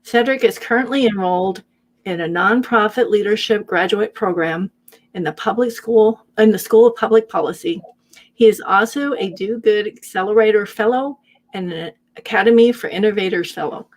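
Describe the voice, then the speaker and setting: A woman's voice: medium pitch, moderate pace. Woman host reading a guest introduction. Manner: reads with small slips and self-corrections.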